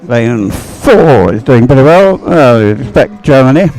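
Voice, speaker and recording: A man's voice: medium pitch, lively intonation, measured pace, darkish tone, soft background music, rough recording. A man giving unscripted live sports commentary as a race commentator, rambling a little and thinking aloud.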